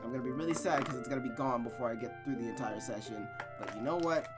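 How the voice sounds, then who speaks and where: A man's voice: medium pitch, fast, loud music behind the voice. A young man hosting a reading of a visual novel, talking energetically and casually, then narrating the story. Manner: energetic, chatty, swears casually.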